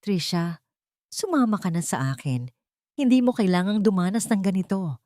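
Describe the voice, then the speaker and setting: A woman voicing a dignified young man who speaks low, firm and earnest, with calm authority. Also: normal speed, no room sound, studio quality, close to an everyday voice.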